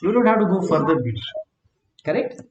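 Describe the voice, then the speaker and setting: A man lecturing a class who often checks in with "right?", repeats words and dictates notes slowly. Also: high voice, very animated, a little mellow, slight background noise. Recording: echoey classroom, dull lo-fi sound.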